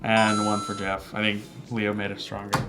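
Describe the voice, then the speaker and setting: A man's voice: fairly low pitch, monotone, moderate pace, music in the background. A man speaking as host and judge of a casual debate show, moderating in a chatty style.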